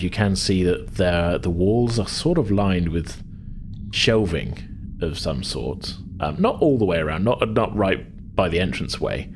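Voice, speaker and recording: Casting: male game master, deep pitch, informal and hesitant improvised scene-setting, faint background noise. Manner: hesitant, loose, informal phrasing. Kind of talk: improvised tabletop game narration.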